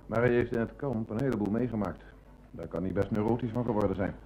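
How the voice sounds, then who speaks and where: An actor playing an earnest police detective, assistant to an inspector, in a radio drama. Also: male voice, lowish voice, fairly even intonation, quick pace, mellow, close to an everyday voice. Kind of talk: Dutch radio drama acting. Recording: slightly noisy old radio recording.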